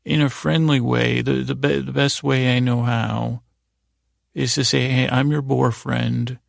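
A man improvising a rambling, whimsical bedtime monologue as a host, halting and stammering, often repeating his words. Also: mid-range voice, flat delivery, gravelly, dry recording, studio quality. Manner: whimsical, lightheartedly silly. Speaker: podcast host telling bedtime stories.

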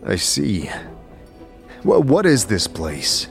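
An actor playing a puzzled, searching immortal wanderer in an audio drama, terse and questioning. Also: male voice, fairly low pitch, very animated, slow, creaky, full character voice. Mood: searching, wary. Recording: music behind the voice.

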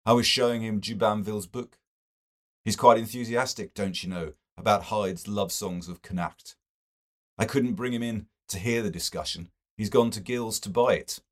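An amiable bookish gentleman, acted by a male audiobook reader, gushing with harmless enthusiasm. His voice is lifted high and loud, and he lingers over his favourite phrases. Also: fairly even intonation, moderate pace, natural voice. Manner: gushing, peppered with "don't you know".

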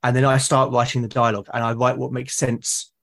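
Man, thoughtful and halting. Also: mid-range voice, little pitch movement, gravelly, fairly bright tone. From Britain. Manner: halting, repeats words as he thinks aloud. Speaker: podcast guest, solo roleplaying podcast creator. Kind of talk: conversational interview, explaining own creative process.

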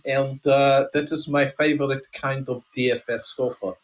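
A man voicing a retired Russian KGB colonel in improvised comedy, with a mock Russian accent that sometimes slips into Scottish, halting and nostalgic in broken English. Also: mid-range voice, fairly even intonation, dull audio, voice pushed down, lightly acted. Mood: fond, nostalgic. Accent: mock Russian, sometimes slipping into Scottish. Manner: halting, rambling, broken English with dropped articles. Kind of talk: improvised comic role-play.

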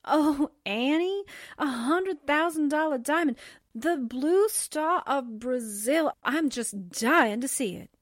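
A high, gushing newspaperwoman sidekick played by a woman from a forties radio play. She is sassy, excitable and dramatic, recorded dry and close. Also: takes it slowly, no echo. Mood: breathless excitement. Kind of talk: 1940s radio drama acting.

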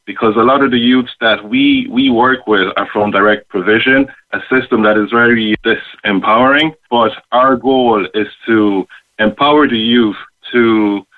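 A man giving a thoughtful interview over the phone, earnest and measured, pausing mid-sentence. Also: lowish voice. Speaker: interview guest, rapper and youth arts worker. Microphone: phone call. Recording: narrow, tinny phone line.

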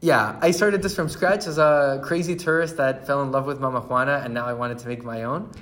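Animated man, laughing storyteller. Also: medium pitch, brisk. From Chile. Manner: animated, laughs often, many restarts. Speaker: brand founder, guest. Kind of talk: casual interview storytelling.

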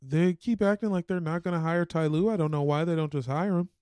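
A man with a slightly high voice chats about sports as a podcast co-host. He is flippant and informal, full of slang, and sounds close and dry on the recording. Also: fairly quick, darkish tone, smooth, dry recording. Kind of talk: casual sports chat.

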